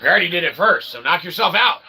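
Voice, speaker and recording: A man's voice: sits high, lively intonation, fast talker. A man giving a rambling, informal monologue as a channel host, verbose and repetitive, with mock-grandiose convoluted phrasing and frequent check-in tags. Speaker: host of an independent online channel. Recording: clear background ambient noise.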